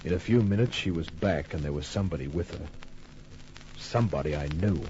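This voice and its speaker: A man's voice: deep voice, animated, brisk, darkish tone, a little hiss or hum. An actor playing a wry, hard-boiled private detective who narrates his case in a laconic, cool way in an old-time radio crime drama. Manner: laconic, cool, clipped storytelling. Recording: muffled old radio recording with music.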